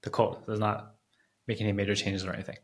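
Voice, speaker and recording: A man's voice: on the low side, level tone, fast. A man hosting an interview, chatting casually with brief responses to his guest. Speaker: interview host.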